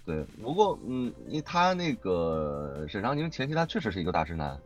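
A man's voice: lowish voice, sing-song, brisk, faint music behind. A man speaking as a voice actor guest in a casual interview, emphatically repeating his points.

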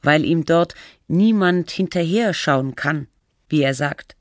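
A documentary narrator reading a scripted feature in a measured, matter-of-fact, scholarly way. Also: mid-range voice, no room sound, clean studio sound, lightly acted.

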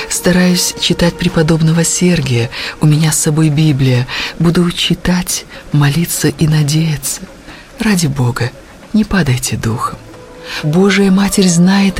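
An actress playing a devout grand duchess and abbess, tender and sorrowing, reading her letter to her sisters in an audio play. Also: deep voice. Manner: tender, prayerful, grieving, at times breathy and hushed.

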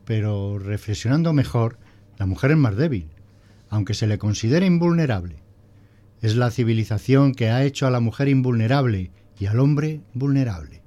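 A man's voice: mid-range voice, animated, normal speed, dark tone, close to an everyday voice. A man playing a prim, pedantic male schoolteacher who lectures didactically in a scripted audio drama. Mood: earnest explaining. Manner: didactic, pompous.

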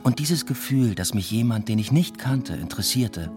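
A man's voice: medium pitch, lively intonation, normal speed, over music, lightly acted. An actor playing a weary, introspective male academic, narrating a radio play in a dry, reflective, understated way. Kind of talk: literary first-person narration in a radio play.

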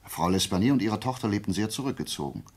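A man acting in a radio play as a shrewd detective, explaining his deductions deliberately and didactically in a low, gravelly, husky voice. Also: animated, a little hiss or hum. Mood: probing, insistent. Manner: deliberate, didactic, checking in with short questions. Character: shrewd amateur detective methodically explaining a murder case.

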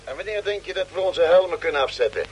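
Higher-voiced cautious spaceman. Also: lively intonation, fast. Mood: matter-of-fact. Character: cautious, level-headed male spaceship crewman. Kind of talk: old radio drama acting. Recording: faintly noisy vintage radio recording.

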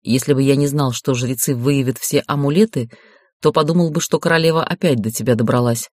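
Guy reading as fantasy raven lord, calm and in charge but tender, hushed low voice, soothing, measured. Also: no echo, clean studio sound, close to an everyday voice. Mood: puzzled.

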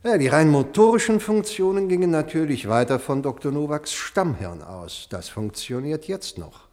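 An actor playing a fascinated, drily clinical doctor in a 1970s radio play, explaining deliberately and musing aloud. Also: male voice, on the high side, expressive, a little mellow, lightly acted. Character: male doctor, clinical, fascinated, drily matter-of-fact about a body. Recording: long reverberant hall in radio play.

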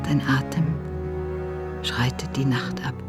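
A woman's voice: very deep voice, steady tone. An actress playing a tender, wistful woman in a lyrical, intimate radio drama monologue. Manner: slow, lyrical, intimate delivery. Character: tender, wistful woman addressing a sleeping lover. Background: music.

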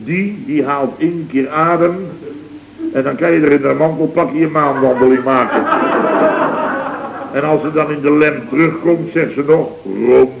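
A man's voice: a little high, moderate pace, vocal fry, reverberant, very noisy. A blustering middle-aged boss gossips in a vintage radio comedy. He rambles, repeats himself for emphasis and mocks freely. The sound is dull and lo-fi. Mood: disdainful gossip. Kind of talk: 1970s Dutch radio comedy sketch acting. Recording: dull, lo-fi old radio recording.

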